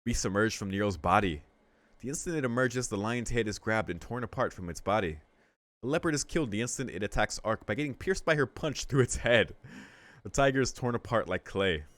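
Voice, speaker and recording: A man's voice: on the low side, animated, fairly quick, a bit bright, no echo. A young man reading a visual novel aloud and reacting in a loose, slangy way. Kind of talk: casual reaction commentary.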